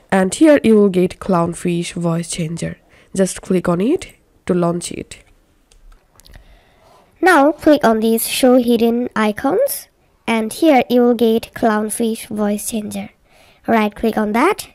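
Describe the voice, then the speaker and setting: A woman narrating a step-by-step software tutorial in a deliberate, instructional manner. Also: on the high side, dry recording. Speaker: tutorial presenter.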